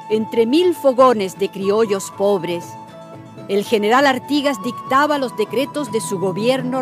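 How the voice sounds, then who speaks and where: High-voiced woman narrating over music. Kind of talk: scripted dramatic historical narration.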